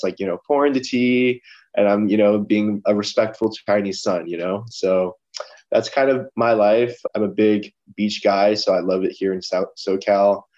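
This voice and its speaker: Young man, relaxed rambling talk, lowish voice, muffled lo-fi recording. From Los Angeles, California. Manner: relaxed, rambling, many fillers like "you know". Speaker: guest, lawyer. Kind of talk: casual interview chat. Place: his office. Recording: dull lo-fi sound.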